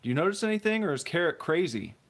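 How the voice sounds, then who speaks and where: A man's voice: a little high, sing-song, fast talker. A man hosting a laid-back live stream from home, talking into a phone on a tripod. He rambles comfortably and reads viewer messages aloud. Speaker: streamer host. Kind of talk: casual live-stream chat while building. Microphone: phone mic on a tripod.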